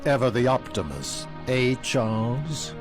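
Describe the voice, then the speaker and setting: Bitter proud mutant boss, slow, wildly swinging delivery, music behind. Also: male voice, medium pitch, very animated. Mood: bitter, grave. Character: proud, embittered male mutant leader, harsh realist. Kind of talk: video game cutscene acting.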